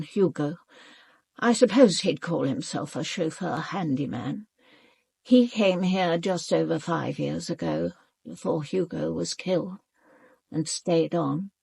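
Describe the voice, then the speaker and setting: A woman's voice: lowish voice, vocal fry, darkish tone, husky, dry recording, exaggerated voice. An actress playing a proud, elderly upper-class British mother in a radio drama, speaking formally and precisely with controlled, cool dismissiveness. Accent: upper-class British English. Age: older. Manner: formal, clipped, haughty, precise.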